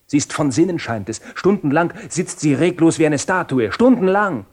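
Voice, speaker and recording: A man's voice: on the high side, expressive, normal speed, a little mellow. A man playing a loyal, anxious young friend in a German radio drama, urgent and pleading in a theatrical way. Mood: urgent warning.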